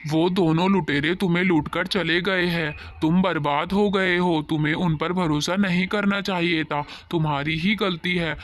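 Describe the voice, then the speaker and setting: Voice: mid-range voice, steady tone, moderate pace, few pauses, natural voice. A performer voicing an adult cartoon bird in a children's story, with plain, declarative delivery. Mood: indignant. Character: cartoon birds: pigeon farmer, crow swindler, uncle. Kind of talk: Hindi animated children's story dialogue.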